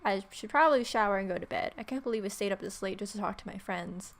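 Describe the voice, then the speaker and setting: A woman's voice: mid-range voice, quick pace. A woman reading story text aloud as a let's player, with irreverent, sarcastic asides.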